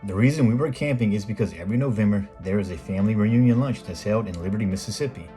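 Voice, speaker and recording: A middle-aged American man reading a scripted voice-over in a measured, plain explanatory way, as the host of a photography video. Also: on the low side, dark tone. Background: background music.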